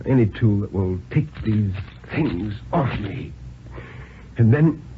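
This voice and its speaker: Male reader as desperate refined fugitive banker, low, weary, faltering speech, vintage radio drama, lo-fi. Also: lightly acted. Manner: halting, faltering, pleading. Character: desperate, exhausted, formal-spoken male banker on the run. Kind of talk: 1950s radio dramatic reading. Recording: lo-fi 1950s radio, hissy.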